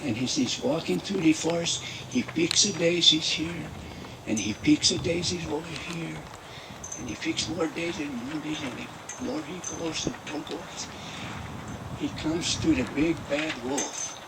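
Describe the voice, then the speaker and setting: A man playing a Mexican-American storyteller who tells a comic fairy tale in broken English, with drawn-out, repetitive folksy phrasing. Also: on the high side, far from the mic, noisy background. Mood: folksy, earnest. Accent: put-on Mexican-American.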